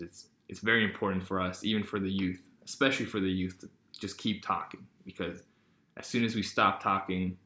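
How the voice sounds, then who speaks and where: A man's voice: low-pitched, bright, forceful tone, roomy sound. A teenage boy hosting a casual podcast, talking in a rambling way with lots of fillers and restarts. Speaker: podcast host.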